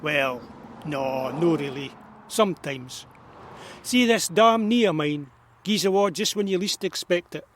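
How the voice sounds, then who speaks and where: Man acting a grumpy old Scotsman, higher-pitched voice. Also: expressive, a little slow, a bit bright, a little hiss or hum. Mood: grumbling, wry. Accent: Scottish. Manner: grumbling, wry, broad dialect. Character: eighty-year-old grumpy but wry Scottish man living alone. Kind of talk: audio drama acting.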